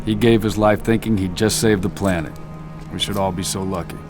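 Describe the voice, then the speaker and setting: A man's voice: low voice, fairly even intonation, fairly quick, over music, natural voice. A man playing a stern, weary squad commander in a video game cutscene, clipped and authoritative. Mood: somber.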